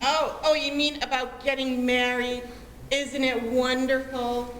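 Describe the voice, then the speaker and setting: An actress playing a dreamy, melodramatic teenage daughter in love, in an old-time radio comedy. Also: female voice, high voice, little pitch movement, measured pace, a bit bright. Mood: gushing, dreamy. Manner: gushing, earnest, dramatic. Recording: reverberant hall, faint noise.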